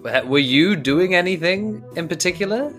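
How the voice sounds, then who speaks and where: A man with a New Zealand accent playing a game, talking hesitantly with restarts and throat-clearing. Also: sits high, animated, normal speed, a bit bright, faint music behind. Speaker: player.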